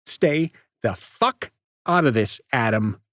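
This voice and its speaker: A man's voice: on the high side, wildly swooping pitch, a little slow, a bit bright, slightly rough, no echo, studio quality, pitched above the performer's own voice, heavily acted. A man voicing a cruel, taunting teenage boy who talks in a cold, hard, sneering way.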